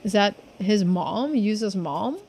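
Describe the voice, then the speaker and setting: A woman's voice: mid-range voice, lively intonation, slow pace, darkish tone, slightly rough. A woman giving casual game playthrough commentary, musing aloud and addressing her viewers. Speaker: game streamer. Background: game music.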